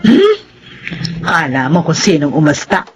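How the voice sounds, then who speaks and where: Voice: mid-range voice, wildly swooping pitch, a little slow, faint music behind. A performer playing a resentful older Filipina woman in a radio drama, locked in a long feud. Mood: irritated, defiant.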